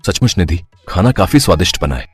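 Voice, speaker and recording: A man playing a gentle, earnest young husband and generous son-in-law in a moral story. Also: deep voice, animated, quick pace, slightly rough. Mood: affectionate. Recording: music behind the voice.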